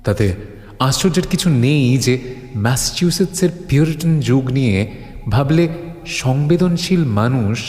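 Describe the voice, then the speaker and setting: A man playing a grave first-person narrator of a horror audio story, solemn and halting, drawing out phrases for suspense. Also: on the high side, expressive, darkish tone, close to an everyday voice. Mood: grave, ominous. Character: grave male narrator recounting old forbidden horrors to his friend. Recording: long reverb on the voice.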